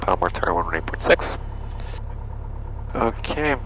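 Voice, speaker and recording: Low, gravelly man pilot in a cockpit. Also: animated, moderate pace, a bit bright. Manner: clipped, thinking aloud in short phrases. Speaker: private pilot briefing and flying a small plane. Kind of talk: procedural cockpit briefing and checklist talk. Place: small airplane cockpit. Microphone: aviation headset. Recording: engine and cockpit noise behind voice.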